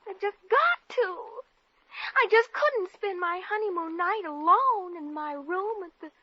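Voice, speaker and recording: High-voiced plaintive young bride. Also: female voice, expressive, measured pace, dry recording. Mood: tired, plaintive. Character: sweet, plaintive young newlywed bride, tired and anxious. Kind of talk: 1950s radio comedy acting. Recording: dull, lo-fi old radio recording.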